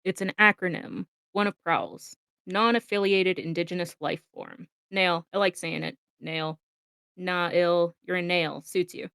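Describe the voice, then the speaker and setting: A woman's voice: fairly low pitch, steady tone, unhurried, gravelly, a bit bright, smooth, dry recording, studio quality. A woman voicing a cheeky, casual robot soldier in an amateur comic dub, teasing and informal.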